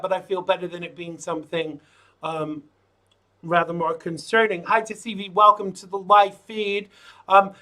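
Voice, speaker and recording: A man hosting a live call-in show, chatting through news items with jokey asides. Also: bright, forceful tone. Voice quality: says his voice is low. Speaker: show host.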